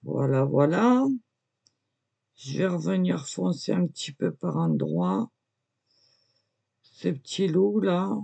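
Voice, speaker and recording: A woman's voice: low-pitched, big pitch swings, slow, gravelly, long pauses, mellow, slightly rough. A woman casually talking viewers through her drawing, hesitant and full of filler words, prone to coughing as she talks. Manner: hesitant, lots of "voilà" and "euh"; coughs and chokes easily when talking. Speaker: amateur artist showing a drawing.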